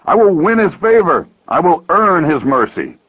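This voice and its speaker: A man's voice: medium pitch, animated, brisk, breathy edge, no room sound, muffled recording, pitched above the performer's own voice. A man voicing a proud, self-righteous younger brother in a dramatized parable, with an emphatic, declaiming manner. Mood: furious, defiant.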